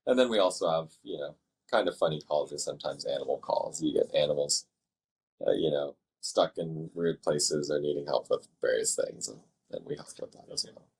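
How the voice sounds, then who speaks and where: Very deep, husky-voiced man. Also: poor audio. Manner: measured, thoughtful, halting phrasing. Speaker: fire dispatcher being interviewed. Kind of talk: unscripted interview answers.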